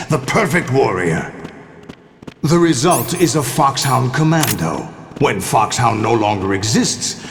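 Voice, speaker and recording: An actor playing a commanding, scheming older villain, delivering a measured, condescending, theatrical monologue in a video game cutscene. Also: male voice, medium pitch, a little hiss or hum. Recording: echoey hall, long reverb, faint music. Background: faint music.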